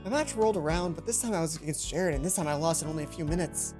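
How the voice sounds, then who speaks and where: Woman, lower voice, let's play narration, casual asides, small reading stumbles, clear game music behind. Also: rapid-fire. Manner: stumbles and self-corrects while reading. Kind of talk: reading visual novel text aloud with casual commentary.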